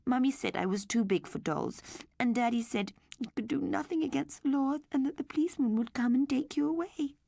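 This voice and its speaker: A woman voicing a little girl who pleads and sobs over losing her robot doll, her voice pitched high. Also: brisk, creaky, dark tone, no room sound, studio quality. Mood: tearful pleading.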